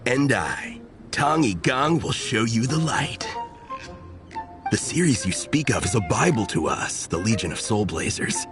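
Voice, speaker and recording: A grandiose young male fanatic in a video game. He declaims with theatrical fervor and big swings of pitch, over music. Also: sing-song, measured pace. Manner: pompous, theatrical, archaic phrasing. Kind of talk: video game character dialogue.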